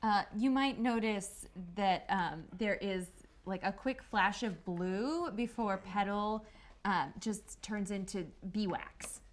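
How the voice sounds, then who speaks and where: A woman's voice: mid-range voice, slow. A woman playing a tabletop roleplaying game, chatting casually as she works out her moves.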